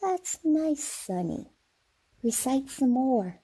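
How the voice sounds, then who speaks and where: A woman's voice: high voice, very animated, slow, warm tone, husky, heavily acted. A woman playing a feisty, blunt great-grandmother of ninety-six in an old-time radio comedy. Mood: pleased, blunt. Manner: blunt, folksy.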